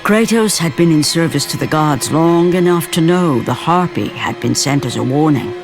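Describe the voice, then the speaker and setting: A man's voice: on the high side, expressive. Grave male game narrator. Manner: grave, measured storytelling. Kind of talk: dramatic scripted narration. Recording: loud music score behind.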